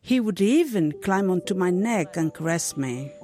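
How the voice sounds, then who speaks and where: A woman's voice: lowish voice, expressive, moderate pace, dark tone, soft background music. A woman giving a translated first-person voice-over, telling a story as an interpreter.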